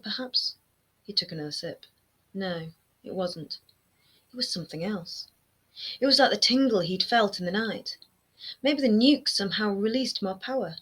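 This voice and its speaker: A woman in her thirties, an author reading her own fantasy novel aloud at home in an expressive storytelling manner. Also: lowish voice, slightly rough. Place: home room lined with bookshelves. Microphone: webcam framing, seated close to camera.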